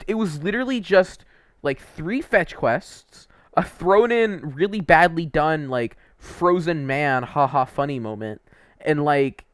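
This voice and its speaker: A man's voice: on the high side, dry recording, studio quality. A man giving casual, opinionated game review commentary, informal and emphatic, stretching words for emphasis.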